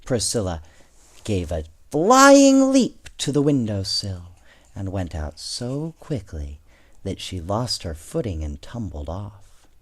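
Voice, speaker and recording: A man reading children's storybooks aloud in an expressive, measured way, with casual asides to his young listeners. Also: fairly low pitch. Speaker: bedtime story reader, host.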